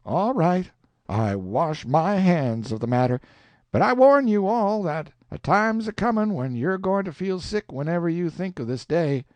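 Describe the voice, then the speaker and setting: A man voicing a stern older town doctor who speaks forcefully and indignantly. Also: medium pitch, big pitch swings, a little slow, dry recording, pitched above the performer's own voice. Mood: warning.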